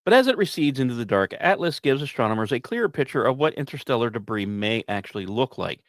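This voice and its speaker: A male science podcast host with an average-pitched voice reads semi-scripted commentary. He slips in wry asides and sometimes trips over or repeats a word. The sound is dry, with very little room in it. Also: animated, fast, no room sound.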